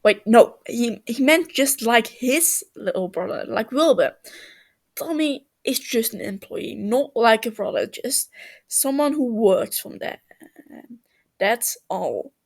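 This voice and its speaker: A woman reading a story aloud in a slightly halting way, as an amateur narrator. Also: fairly high pitch, animated, vocal fry. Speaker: amateur fan fiction reader.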